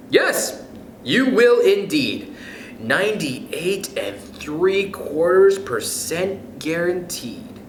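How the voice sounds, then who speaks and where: A man reading a children's picture book aloud in a playful, expressive way, chuckling between passages, then speaking to young listeners himself. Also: fairly high pitch, slight background noise. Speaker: youth club storyteller. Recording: echoey room.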